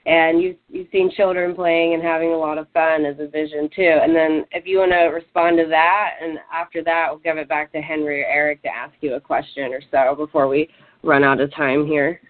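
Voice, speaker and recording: A woman's voice: low-pitched, level tone, a little fast. A woman hosting a talk radio show, upbeat and promotional as she prompts the guest. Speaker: radio host. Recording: tinny phone-line audio.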